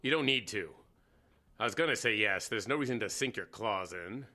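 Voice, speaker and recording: An actor playing a cynical, weary boss of a 1940s radio network, speaking in a wry, blunt way in an audio drama. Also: male voice, on the low side, expressive, moderate pace, sharp tone. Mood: blunt, commanding. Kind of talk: audio drama acting, period radio dialogue.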